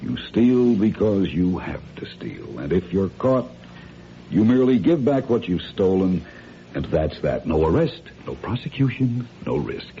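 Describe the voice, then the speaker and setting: Radio mystery ringleader: very low-pitched man, formal and condescending, coolly persuasive, with audible noise on a vintage recording. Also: sing-song, mellow. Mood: coolly insistent. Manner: polite, measured, formal, condescending. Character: smooth, calculating male crime ringleader, coolly persuasive. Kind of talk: old-time radio drama acting. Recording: dull, noisy old radio recording.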